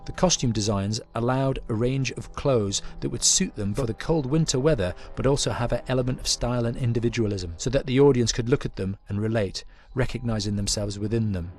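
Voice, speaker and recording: A man giving scripted making-of narration in a measured, explanatory manner. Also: mid-range voice, darkish tone, slight background noise.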